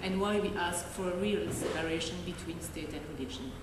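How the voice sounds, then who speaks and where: Belgian woman, reading a statement slowly, lowish voice, big echoey hall. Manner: careful, deliberate reading. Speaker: panelist. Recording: distant, very echoey hall with noise.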